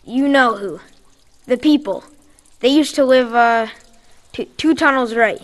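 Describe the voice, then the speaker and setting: A woman's voice: fairly high pitch, measured pace, long reverb tail, lightly acted. An actress playing an earnest, devout boy in a radio drama, plain-spoken, urgent and determined. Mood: wary, searching. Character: earnest, devout boy living rough underground. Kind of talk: post-apocalyptic radio drama acting.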